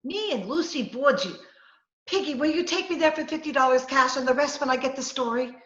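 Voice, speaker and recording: A woman's voice: high-pitched, fairly quick. A woman acting a plucky, headstrong, scatterbrained lady reporter in a 1940s-style radio comedy, bossy and theatrical. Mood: excited, scheming. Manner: bossy, excitable, theatrical delivery. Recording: roomy, muffled, faintly noisy video-call recording.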